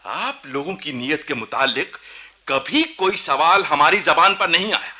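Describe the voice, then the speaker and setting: An actor playing a proud, embittered young Nawab in a historical radio drama, with imperious, rhetorical courtly speech. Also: male voice, on the high side, expressive, unhurried, sharp tone, heavily acted. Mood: bitter, aggrieved. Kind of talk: theatrical Hindi radio historical drama acting.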